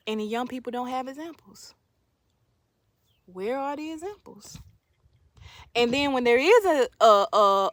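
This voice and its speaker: A woman around forty with a somewhat high voice, speaking as a streamer to her audience. Her delivery is casual and opinionated, and she leans on repeated phrases to press her point. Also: fairly bright tone, no room sound. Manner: emphatic, repeats phrases for emphasis. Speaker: livestream host. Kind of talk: casual opinion monologue to viewers.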